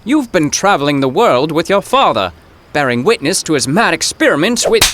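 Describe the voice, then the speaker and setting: A man's voice: on the high side, big pitch swings, moderate pace, a bit bright. An actor playing a pompous, bewildered professor out of his own time in a comic audio drama, speaking in florid, formal, theatrical language. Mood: musing.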